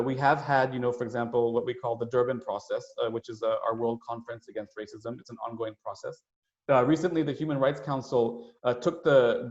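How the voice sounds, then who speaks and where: A man speaks at a medium pitch, explaining things in a conversational presenter's style. He often hesitates and adds small asides as he goes. The sound is roomy and somewhat dull, like webinar audio. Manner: halting, with frequent hesitations and hedging asides. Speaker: United Nations staff speaker presenting a webinar.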